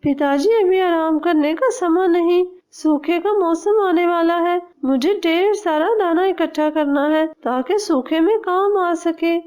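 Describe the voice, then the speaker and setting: A woman's voice: very high-pitched, measured pace, hardly any pauses, a little mellow, voice pushed up. A woman voicing a kind, dutiful young female bird in a cartoon, speaking earnestly and respectfully.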